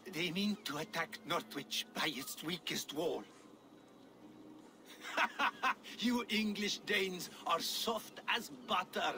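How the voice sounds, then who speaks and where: An actor playing a defiant captured Viking raider in a video game, with a gravelly voice and a mocking, insolent delivery. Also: male voice, fairly high pitch, background noise. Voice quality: gravelly, creaky. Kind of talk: dramatic video game acting.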